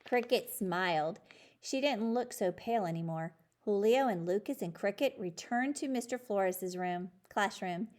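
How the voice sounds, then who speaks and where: A woman's voice: mid-range voice, moderate pace, a little mellow. A woman reading a children's chapter book aloud as a storyteller.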